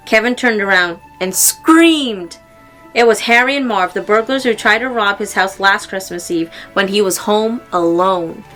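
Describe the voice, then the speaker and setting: Female storybook reader, bright and clear-cut, expressive delivery for young listeners, soft music underneath. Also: medium pitch, unhurried. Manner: expressive, acting out exclamations and dialogue. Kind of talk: read-aloud children's story narration.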